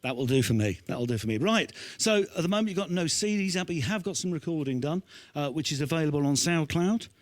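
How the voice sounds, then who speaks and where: Male host, 50s, slightly high voice, chatty and encouraging, close dry handheld mic sound. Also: expressive, quick pace, few pauses, dry recording. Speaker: host interviewing a young band. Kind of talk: casual on-stage interview. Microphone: handheld microphone.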